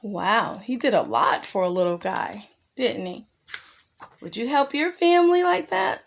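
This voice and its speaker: A woman reading a children's picture book aloud as a storyteller, expressive and careful, with asides to her young listeners. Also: fairly high pitch, a little slow, creaky. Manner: addresses young listeners with simple questions.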